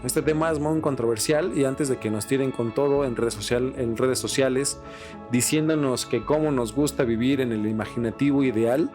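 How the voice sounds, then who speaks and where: A Mexican man co-hosting a casual podcast, with a middle-pitched voice and informal, opinionated talk full of slang. Soft guitar music plays behind him. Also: normal speed. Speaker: podcast co-host.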